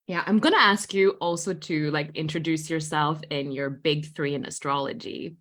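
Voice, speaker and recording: A woman hosting a podcast who asks questions in a warm, enthusiastic, chatty way. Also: deep voice, fairly even intonation, normal speed. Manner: enthusiastic, gushing. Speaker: podcast host. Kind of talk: casual interview chat.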